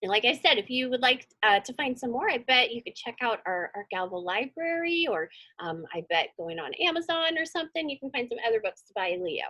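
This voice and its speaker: A woman's voice: a little high, lively intonation, fairly quick, few pauses, sharp tone. A woman reading a storybook aloud and chatting informally to children as their teacher, encouraging and often putting questions to her listeners. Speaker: teacher hosting a children's read-along.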